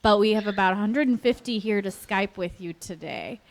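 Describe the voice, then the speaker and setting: A woman's voice: medium pitch, normal speed. A woman from the school welcoming an author to a video call with students and setting out the schedule. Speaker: school librarian or teacher hosting the call. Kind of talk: casual welcome and instructions.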